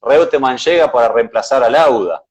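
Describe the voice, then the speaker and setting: A man with an Argentine accent, co-hosting a casual motorsport chat, talking in a measured way with hesitations. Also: medium pitch, expressive, a little mellow, husky, lo-fi sound. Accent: Argentine Spanish. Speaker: co-host.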